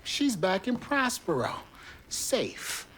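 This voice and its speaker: A sly, swooping otherworldly schemer. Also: male voice, sits high, wildly swooping pitch, slow, a bit bright, a little hiss or hum, full character voice, low-quality recording. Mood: sly, smug. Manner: theatrical, cajoling, ominous. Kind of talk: video game cutscene acting. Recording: music behind the voice. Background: music.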